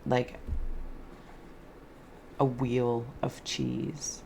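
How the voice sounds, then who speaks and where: A woman hosting an improvised spiritual card and scrying reading, soft-spoken, with a dreamy, meandering, alliterative way of talking. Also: rumbling low, level tone, very slow, creaky, slight background noise. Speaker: host, tarot and scrying reader.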